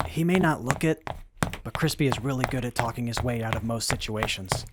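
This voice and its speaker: An actress playing a loyal, brainy machine expert with a matter-of-fact, measured manner in an audio drama. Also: female voice, very deep voice, heavy background noise. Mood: calm, earnest. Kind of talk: scripted post-apocalyptic audio drama acting.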